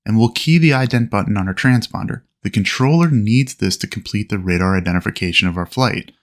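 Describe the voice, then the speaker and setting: A man with a fairly low voice narrates as a flight instructor. He explains methodically, in an orderly teaching style suited to a flight training lesson. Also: brisk. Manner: clear, methodical explaining with aviation radio phraseology.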